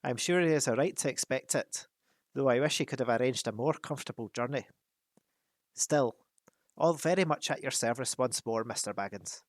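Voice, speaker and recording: A man doing a proud, booming dwarf king. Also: dry recording, lightly acted. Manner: loud, stately declaiming.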